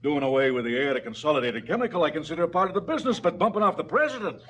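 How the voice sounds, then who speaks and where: An actor playing a cynical, brooding crime boss in a stylised radio drama, sardonic and businesslike about killing. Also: male voice, on the high side, expressive, very fast, a bit bright, slight background noise. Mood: indignant lecturing.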